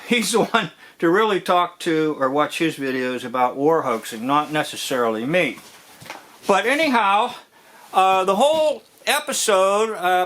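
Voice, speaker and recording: Slow-paced man with a higher-pitched voice. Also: fairly bright tone. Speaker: video host, commentator. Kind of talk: informal commentary on a TV episode.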